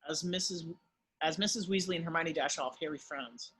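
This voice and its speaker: A man with a husky voice reading stage directions as the narrator of a script table read. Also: on the high side, brisk, sharp tone.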